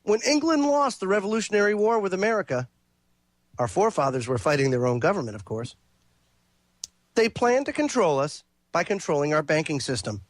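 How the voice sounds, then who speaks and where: A man hosting a talk radio show, his voice sitting a touch high and sounding congested from a cold. He talks through a long monologue, reading passages aloud and stumbling over fillers and restarts, all caught on a dry, close recording with no room echo. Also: lively intonation, a little fast. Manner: reads quotes and lists aloud, with fillers and restarts.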